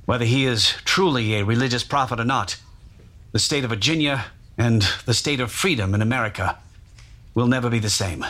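Man playing a bright, forceful news correspondent. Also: medium pitch, a little fast, close to an everyday voice. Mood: grave, declarative. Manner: formal, pointed, declarative. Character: earnest male newspaper-era reporter, presenting like a news correspondent. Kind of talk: dramatized news report.

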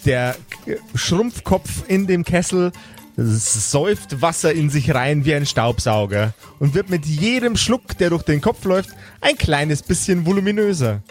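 A man narrating a fantasy story as game master, with deliberate, dramatic phrasing and a suspenseful style. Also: fairly high pitch, expressive, faint music behind.

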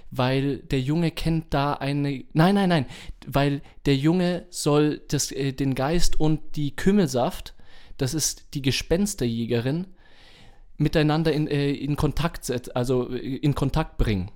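A man's voice: on the high side, measured pace, a little mellow. Enthusiastic man chatting casually. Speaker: podcast host. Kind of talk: casual chat.

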